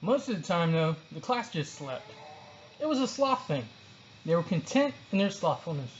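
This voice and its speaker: A storyteller narrating a children's book aloud in an expressive read-along style. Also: medium pitch, moderate pace, muffled recording, slight background noise.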